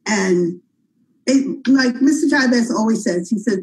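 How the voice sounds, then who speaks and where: A woman's voice: a little high, expressive, a little slow, poor audio. A woman co-hosting an interview show, enthusiastic and full of praise, laughing easily as she talks. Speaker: co-host. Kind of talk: casual interview chat.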